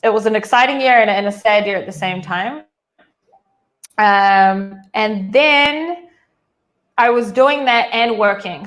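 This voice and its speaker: A woman's voice: mid-range voice, a bit bright. A woman in her thirties with a New Zealand accent, telling her life story as an interview guest in a casual, chatty way over a video call, full of "like" fillers and restarts. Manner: chatty, frequent "like" fillers and restarts, laughs easily.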